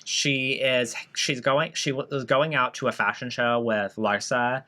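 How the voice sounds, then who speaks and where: A man's voice: mid-range voice, fairly even intonation, measured pace, pushed and bright. A young man co-hosting a podcast, retelling scenes in a loose, rambling way. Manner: rambling, many fillers and restarts while retelling. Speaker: podcast co-host. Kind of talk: casual chat.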